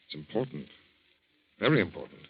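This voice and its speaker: A man's voice: deep voice, big pitch swings, brisk, slight background noise. Urgent young leading man, muffled radio. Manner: urgent, commanding, earnest. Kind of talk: 1940s radio drama acting. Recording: muffled old 1940s radio recording.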